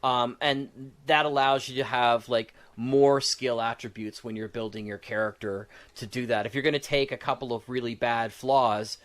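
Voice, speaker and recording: A man in casual podcast conversation, with a middling pitch. He rambles and thinks aloud, working through game design as he talks. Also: steady tone, moderate pace, few pauses, a bit bright. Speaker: podcast co-host.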